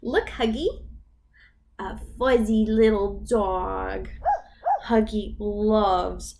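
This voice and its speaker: A woman leading a storytime for babies as a children's librarian, reading in an exaggerated sing-song way with gasps and hushed whispers. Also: medium pitch, fairly even intonation, very slow, gravelly.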